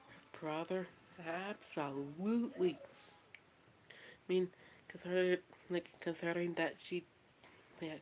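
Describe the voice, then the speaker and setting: A woman's voice: fairly low pitch, a little mellow, slight background noise, poor audio. A woman chatting casually on a livestream, often stopping and restarting her sentences. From Texas, USA. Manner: halting, repeats and restarts phrases often.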